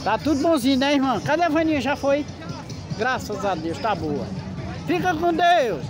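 High-voiced man vlogging through a noisy market. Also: big pitch swings, normal speed. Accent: Northeastern Brazilian. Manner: friendly, points things out with repeated "olha aí, ó", religious greetings. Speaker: vlogger filming a street market. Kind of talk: casual walking vlog, greeting vendors. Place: outdoor street market, crowded. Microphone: handheld phone camera. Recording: busy market noise with loud music. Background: loud crowd chatter and music.

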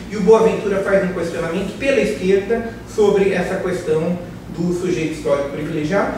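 A middle-aged man from Brazil lectures to a class in a measured, searching way, often restarting a phrase and checking in with the room. He sits on the higher side of a man's range. The far-off camera catches strong room echo and a steady hiss. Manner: measured, thoughtful, hesitant restarts, frequent "né?" tag. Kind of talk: academic lecture to course participants. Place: large bare classroom. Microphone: far camera, no mic. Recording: echoey room from far off, noisy.

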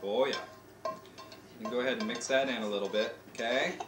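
A man's voice: medium pitch, fairly even intonation, fairly quick, over music. American man hosting an echoey home cooking demo. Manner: playfully presenting, explaining step by step. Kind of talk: casual cooking demonstration. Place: home kitchen, indoors. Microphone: camcorder mic a few feet away. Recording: noisy, echoey home camcorder audio.

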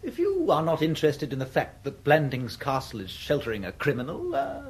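An actor playing a pompous, aggrieved private secretary with an English accent, speaking in a stiff, formal way in a radio comedy. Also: male voice, on the high side, huge pitch swings, fairly quick, husky, exaggerated voice. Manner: formal, stiff, self-important. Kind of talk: radio comedy drama acting.

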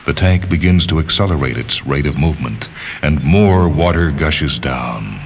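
A man reading a literary story as scripted narration, in a measured, deliberate manner, phrase by phrase. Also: a bass voice, expressive, slight background noise. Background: music.

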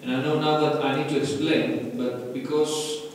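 A man's voice: on the high side, flat delivery, normal speed, a little hiss or hum. A man with a Greek accent giving an interactive conference lecture, quizzing the audience in non-native phrasing. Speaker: conference speaker. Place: large conference hall. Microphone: lectern mic heard from afar. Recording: echoey hall from far off.

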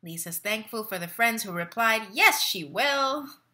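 A woman's voice: a little high, animated, a little slow, bright, forceful tone, full character voice. A young woman acting a stage monologue as a lonely, wry, restless woman reading out her online status updates. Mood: lonely, wistful. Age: young adult. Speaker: actor in a staged play reading. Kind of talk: dramatic monologue read as social media status updates. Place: living room at home. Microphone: laptop webcam at close range.

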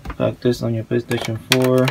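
A man giving a casual hands-on tutorial in a halting, informal way, recorded on a handheld phone at home. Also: medium pitch, completely flat delivery, gravelly, background noise. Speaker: tutorial presenter. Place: small room at home, indoors.

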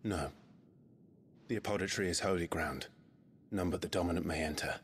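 Deep, brooding British swordsman played by a man. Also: normal speed, a little hiss or hum, natural voice. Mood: grave. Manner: grave, terse, intense. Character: brooding, driven young swordsman and sworn shield of his brother. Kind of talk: video game cutscene acting.

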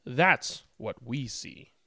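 A man in his thirties, host of a children's read-aloud, speaking in an expressive, sing-song way. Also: medium pitch, very slow, no echo. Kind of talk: picture-book read-aloud with casual introduction. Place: indoors, small room at home.

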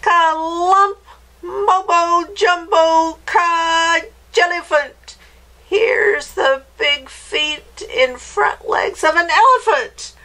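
A woman voicing a gleeful little old witch with a high, screechy voice, chanting spells theatrically in a children's storybook. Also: extremely slow, pushed and bright, exaggerated voice. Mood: gleeful, excited. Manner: theatrical, exclamatory, chanting nonsense rhymes.

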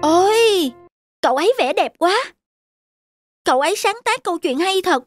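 Woman voicing an anxious, high-pitched chubby schoolboy. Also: animated, normal speed, no room sound, studio quality. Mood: anxious scheming. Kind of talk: cartoon dubbing. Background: prominent music.

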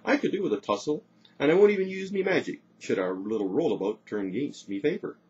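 A man's voice: fairly low pitch, lively intonation, normal speed, slightly rough, muffled recording. A man voicing a proud, volatile, centuries-old sorceress with grandiose archaic dialect in a storybook tale. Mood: boastful.

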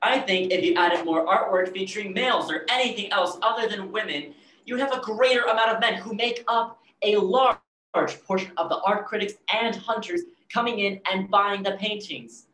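A man's voice: high-pitched, normal speed, bright, forceful tone. A young man playing a sullen, argumentative aspiring painter in a staged play reading. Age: young adult. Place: bare brick-walled room, video call. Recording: echoey room, noisy video call.

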